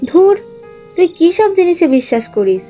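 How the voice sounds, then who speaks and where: A woman's voice: extremely high pitch, big pitch swings, moderate pace, warm tone. A woman playing a carefree, friendly young woman who brushes off superstition in a teasing, casual way. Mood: breezy, dismissive. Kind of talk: dramatic cartoon dialogue. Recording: music underneath the voice.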